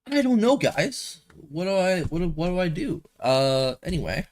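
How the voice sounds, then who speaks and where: A man's voice: high voice, big pitch swings, normal speed. A young man with a stuffy nose streaming a building game, thinking aloud in a rambling, casual way at a desk microphone. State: a little stuffy from a cold. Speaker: gaming streamer. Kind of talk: casual gameplay commentary.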